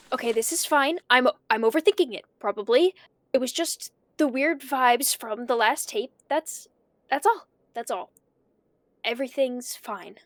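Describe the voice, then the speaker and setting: An actress playing an anxious woman investigator in an audio drama, talking to herself in a nervous, halting, stammering way. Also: a little high, dry recording. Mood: panicked, self-reassuring. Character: anxious woman investigating her dead cousin's tapes.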